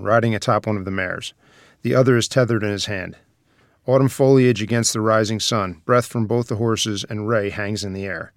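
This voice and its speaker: A man's voice: on the low side, dry recording, studio quality. A man reading scripted stage directions as the narrator of a screenplay table read.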